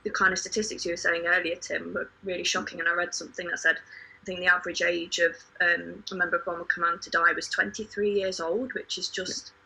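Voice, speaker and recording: A woman in a reflective discussion, speaking earnestly and sombrely with soft hedges. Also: lowish voice, little pitch movement, brisk, creaky, husky, rough recording. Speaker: podcast panellist.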